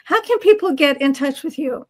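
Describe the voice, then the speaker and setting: A woman's voice: sits high, expressive, moderate pace, darkish tone, no echo, studio quality. A woman hosting an interview podcast, talking in a casual, conversational way. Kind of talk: casual chat.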